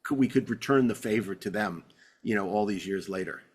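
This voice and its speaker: A man's voice: fairly low pitch, brisk. A man hosting a podcast in casual banter, rambling along with enthusiasm and wry humor. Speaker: podcast host.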